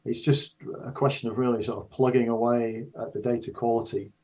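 An older man with a husky, slightly rough voice answers as an expert interview guest. He explains things carefully and restarts phrases as he goes. The audio is somewhat dull and muffled. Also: medium pitch, flat delivery, fairly quick, a little mellow. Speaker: interview guest, astrophysics professor.

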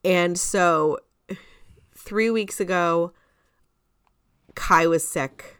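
Woman with a pretty deep voice, casual podcast co-host talking theatre, no room echo at all. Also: extremely slow, fairly bright tone, smooth, clean studio sound. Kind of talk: casual chat about musical theatre.

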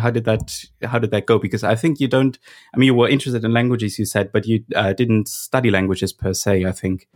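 A woman with an unusually deep, low-pitched voice leads an interview. She asks curious questions, hedging with restarts and qualifiers. Her tone stays conversational. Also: darkish tone.

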